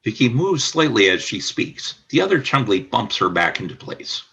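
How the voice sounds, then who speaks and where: A male narrator reads the scripted action of a radio drama. His voice is bright and crisp-edged, with plenty of gravelly fry at a medium pitch. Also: sing-song, moderate pace. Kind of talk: scripted narration of radio play stage directions.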